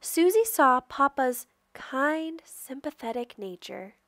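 A woman reading a children's picture book aloud with careful, expressive storytelling delivery. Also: high voice, slow, dry recording, clean studio sound. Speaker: storybook reader.

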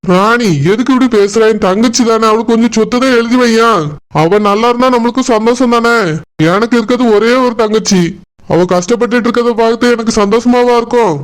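An actor playing a concerned adult son and husband in a family drama skit, reasoning and gently reproachful. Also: mid-range voice, steady tone, a little fast, close to an everyday voice. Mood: gentle appeal. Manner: reasoning, appealing.

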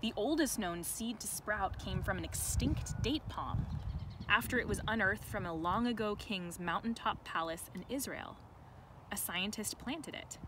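A woman reads a children's book aloud in an expressive, deliberate way, adding chatty asides. Her voice sits at a middle pitch. She is recorded outdoors on a camera, with clear ambient noise behind her. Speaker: educator reading a picture book aloud to children. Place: outdoors in a sunny farm garden. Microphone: camera held by another person nearby.

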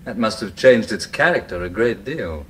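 A man's voice: fairly low pitch, animated, normal speed, a bit bright, slight background noise. An actor playing a courteous, wistful man revisiting his childhood home, in a radio drama. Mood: courteous, hopeful.

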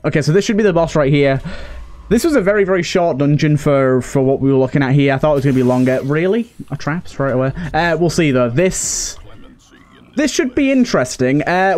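A man's voice: fairly high pitch, a little mellow. A man giving casual commentary as he plays a game, thinking aloud in an understated way. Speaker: gaming video host.

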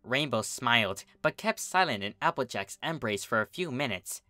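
Bright-voiced man narrating a story. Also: medium pitch, lively intonation, normal speed, dry recording, faint music behind. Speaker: fan fiction reader.

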